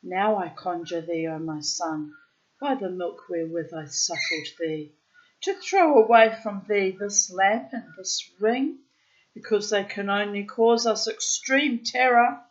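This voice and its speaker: A woman's voice: mid-range voice, measured pace, dull audio, close to an everyday voice. A woman reading the lines of a fearful old mother pleading with her son, in archaic storybook speech. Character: fearful old mother of a poor young man.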